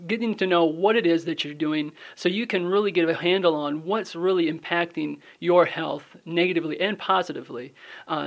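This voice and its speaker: A man answers interview questions on a radio show with a slightly high voice that carries a lot of gravelly fry. He explains earnestly and steadily, with frequent "uh" fillers between his points. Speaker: guest, holistic wellness business owner.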